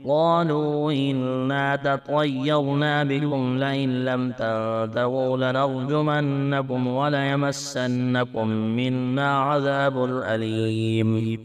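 Male Quran reciter, melodic chant, medium pitch, exceptionally clear resonant tone, long-held phrase endings.

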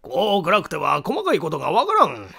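Man performing police inspector, audiobook mystery, high-pitched for a man, animated, commanding, gravelly edge. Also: fairly quick, exaggerated voice. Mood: grumbling. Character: earnest, baffled male police inspector at a crime scene. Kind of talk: dramatic audiobook character acting.

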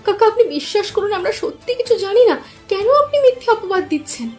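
Woman acting a very high-pitched earnest cartoon villager. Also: animated, a little fast, faint music behind. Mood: protesting. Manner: earnest, protesting.

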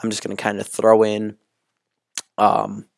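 A young man with a hoarse, partly lost voice, casually talking through a screen-recording tutorial with lots of ums and uhs. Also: lowish voice, unhurried, no room sound, studio quality. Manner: relaxed, full of ums and uhs. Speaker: YouTube tutorial host.

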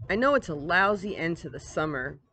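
A woman voicing a concerned, patient mother who reasons gently, in a storybook read-aloud. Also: fairly low pitch, sing-song, a little fast, heavily acted. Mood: apologetic. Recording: outdoor ambient noise, device microphone.

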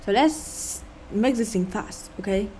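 A woman's voice: mid-range voice, warm tone, slight background noise. A woman with a Vietnamese accent who speaks English as a second language, casually commenting on her gameplay with sarcastic asides and sighs. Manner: sighing, sarcastic asides, halting and unhurried. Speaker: gaming channel host.

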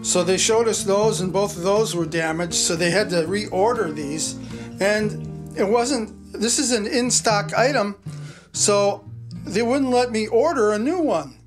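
A man's voice: sits high, unhurried. Plain-spoken man, rambling and folksy, with music bed under him. Husband co-host. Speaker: vlog co-host, handyman husband. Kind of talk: casual storytelling.

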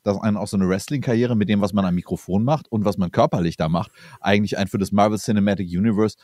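A man's voice: lowish voice, very fast, darkish tone, no echo, studio quality. A German man co-hosting a casual history podcast, explaining chattily with fillers and restarts. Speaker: podcast co-host presenting the episode.

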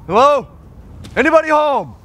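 A man's voice: very high voice, very animated, moderate pace, noisy background. A man playing a wary, worried outsider father in a horror game, asking urgent questions. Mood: worried, confused.

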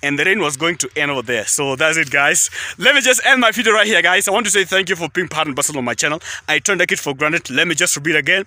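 Kenyan guy vlogging in a tea field, kinda high voice, dry close sound, keeps saying guys. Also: bright, forceful tone, dry recording. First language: Kalenjin. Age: young adult. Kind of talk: casual selfie vlog. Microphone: phone at arm's length.

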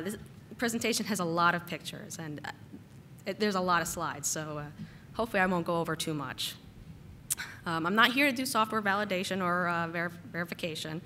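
Slightly hoarse woman giving a tech talk. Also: lowish voice, sharp tone, slight background noise. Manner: casual, frequent "uh" and "um" fillers. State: getting over a cold, slightly hoarse. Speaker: conference speaker. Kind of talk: technical conference talk. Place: conference hall.

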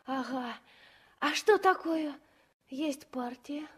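High-voiced, curious little girl. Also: slow pace. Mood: puzzled, curious. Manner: childlike, echoing words with wonder. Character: curious, eager little orphan girl among sailors. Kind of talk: 1960s Soviet radio drama acting.

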